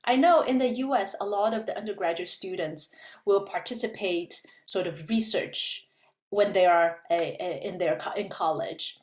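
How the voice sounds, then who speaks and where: A woman's voice: medium pitch, moderate pace, reverberant. A woman professor from China, explaining things at an easy pace in a casual interview, with many fillers. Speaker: physics professor, guest.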